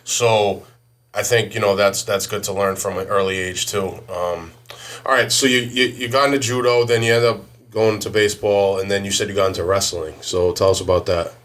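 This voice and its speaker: A man's voice: on the low side, fairly even intonation, moderate pace, fairly bright tone. A man hosting a podcast interview, talking loosely with lots of swearing and restarts. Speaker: podcast host. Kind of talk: casual interview chat.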